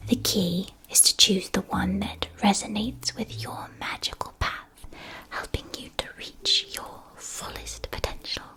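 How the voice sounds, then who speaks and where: A woman playing a gentle, attentive magic-shop keeper in a scripted ASMR roleplay, whispering softly with careful articulation. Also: mid-range voice, poor audio. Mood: soothing, attentive. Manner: soft, slow, careful articulation. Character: gentle, attentive female shopkeeper of magical school supplies.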